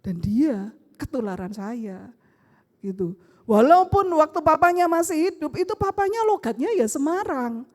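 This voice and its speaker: Middle-aged woman pastor, Surabaya Javanese accent, high voice, long hall reverb. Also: moderate pace. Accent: Surabaya Javanese Indonesian. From Surabaya, Indonesia. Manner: animated storytelling with rhetorical questions, acts out family dialogue. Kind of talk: sermon. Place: church sanctuary.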